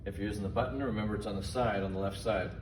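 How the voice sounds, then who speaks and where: A man giving informal safety training instructions in a deliberate, simple, step-by-step manner. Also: lowish voice, steady tone. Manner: slow, deliberate, simple phrasing. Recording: echoey, distant mic, noisy room.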